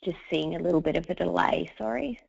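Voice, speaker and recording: A woman, hoarse from a cold, hosting a webinar and running through housekeeping in a chatty way with many fillers. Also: on the low side, fast talker, darkish tone, dry recording. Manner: chatty, lots of uhs. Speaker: webinar host. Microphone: computer mic over a webinar line. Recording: dull dry webinar audio.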